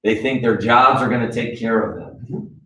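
A man in his forties leading a live sales training in a conference room, with direct, animated coaching and frequent check-ins. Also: medium pitch, normal speed, slight background noise. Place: conference room with a wall screen. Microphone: far camera. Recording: echoey room from far off.

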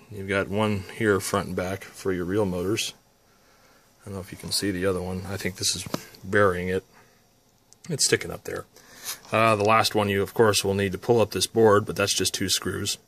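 Male how-to presenter, lowish voice, folksy and off-the-cuff, thinking aloud while working, phone-camera sound. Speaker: repair tutorial presenter. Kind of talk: casual unscripted hands-on demonstration. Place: indoor electronics workshop. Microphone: handheld phone camera.

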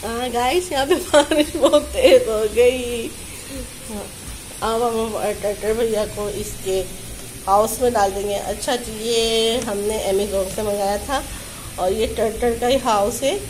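A woman's voice: a little high, fairly even intonation, slow pace, rough recording. A woman in her thirties with a sore throat, chatting and laughing as she films her home on a phone. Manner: chatty, laughs often, talks to the viewers. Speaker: vlogger. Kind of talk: casual home vlog chat. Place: apartment by a window, rain outside. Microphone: handheld phone camera. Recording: phone recording with loud rain noise.

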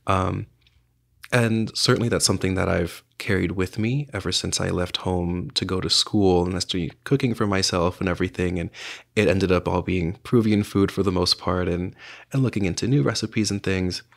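A man's voice: deep voice, fairly even intonation, moderate pace, creaky. A young man from south Florida, a writer and visual artist, answering podcast questions in a reflective, hesitant way with many fillers. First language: Spanish. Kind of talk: podcast interview.

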